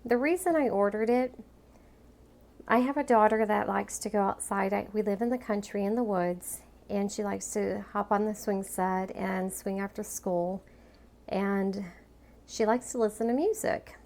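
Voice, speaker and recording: A woman's voice: medium pitch, measured pace, a little mellow. A woman speaks in a medium register. She explains a product in a conversational, matter-of-fact way, as in a homemade video review.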